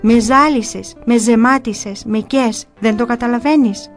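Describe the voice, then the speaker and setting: A woman's voice: fairly high pitch, soft background music, close to an everyday voice. A woman voicing a tormented, blasphemous demon that scolds and nags in exclamations, in a dramatized story. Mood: exasperated, scolding. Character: shrieking demon possessing a monk, female-voiced, blasphemous, tormented, scolding.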